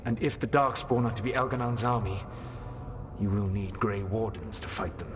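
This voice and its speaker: An actor playing a solemn, proud ancient elven mage in a video game, speaking in formal, measured, grave diction. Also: male voice, fairly low pitch, fairly even intonation, long reverb tail, noisy background, natural voice. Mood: solemn conviction. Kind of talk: dramatic video game dialogue.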